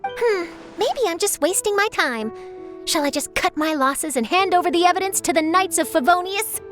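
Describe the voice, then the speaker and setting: A confident, inquisitive young female journalist from an anime game. Her voice is very high and swings expressively as she probes with theatrical precision. Light music sits behind it. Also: expressive, normal speed, a bit bright. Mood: sly, threatening. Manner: probing, articulate, theatrical. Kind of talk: anime-style video game voice acting.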